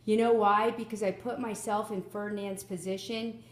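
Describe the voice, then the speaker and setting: A middle-aged woman chatting casually about a picture book, engaged and expressive, commenting and asking questions. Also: mid-range voice, normal speed. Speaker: daughter listening and commenting on a story. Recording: roomy echo around the voice.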